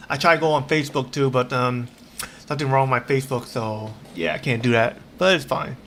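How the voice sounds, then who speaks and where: A man chatting casually on a livestream while he plays a game, rambling in a halting, repetitive way. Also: mid-range voice, a bit bright, slight background noise. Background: game music.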